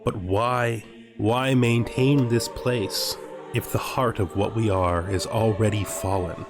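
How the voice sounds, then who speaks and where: A man playing a proud, zealous vampire commander in a measured, grandiose game roleplay monologue. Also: on the low side, steady tone. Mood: defiant resolve. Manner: solemn, grandiose, measured oratory. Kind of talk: dramatic in-character roleplay monologue. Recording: music behind the voice.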